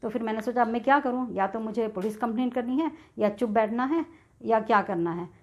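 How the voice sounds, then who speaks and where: A woman's voice: on the high side, a little fast. A middle-aged woman with an Indian accent telling a personal experience casually and in detail, acting out bits of dialogue as she goes. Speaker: video creator sharing a personal experience. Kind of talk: casual first-person storytelling with re-enacted dialogue. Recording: dull, lo-fi sound.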